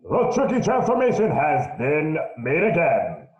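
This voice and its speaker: A man narrating parts of a radio play in a lively, announcer-like way. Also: a little high, unhurried, reverberant, dull audio.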